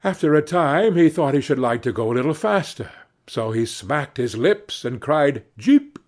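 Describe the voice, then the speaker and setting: A man reading a story as an audiobook narrator, expressive and carefully phrased. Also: medium pitch, normal speed.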